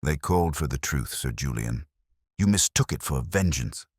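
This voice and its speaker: A man voicing a cool, precise detective in an audiobook, calm, steady and authoritative. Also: extremely low pitch, normal speed, husky, dry recording, studio quality, full character voice. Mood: cold, controlled.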